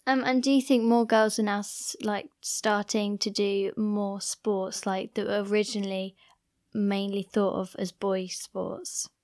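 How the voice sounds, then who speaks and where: A woman conducts an interview at a very unhurried pace. Her questions come with hesitations and fillers, and between the answers she gives short agreeing replies. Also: mid-range voice, level tone, darkish tone.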